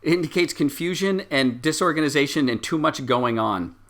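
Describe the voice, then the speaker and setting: A man running a tabletop game as game master, improvising the narration and thinking aloud in a halting way. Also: fairly high pitch, animated, a bit bright.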